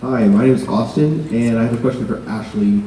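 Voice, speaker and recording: A man's voice: medium pitch, brisk, dark tone, slight background noise. A man fan politely asking a panelist a question at a convention. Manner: polite, earnest. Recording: echoey hall from far off.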